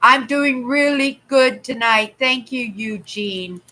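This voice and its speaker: A Canadian woman in her sixties talks slowly and at length to her livestream viewers, wandering from one story to the next. Her voice is bright and clear. She sits at a webcam in a room at home and greets her chatters as she goes. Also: fairly high pitch. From Vancouver, Canada. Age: 60s. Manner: chatty, rambling, reads out comments. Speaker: livestream host. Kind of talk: casual livestream chat. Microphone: webcam at desk.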